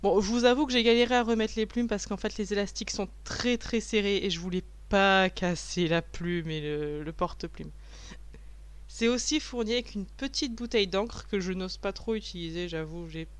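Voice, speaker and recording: A woman's voice: mid-range voice, moderate pace. A woman and mother of a young child, talking over her unboxing video afterwards in a chatty, self-mocking way, with many fillers and restarts. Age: adult, a mother of a young child. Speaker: vlogger reviewing a package. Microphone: voice-over recorded afterwards, not while unboxing.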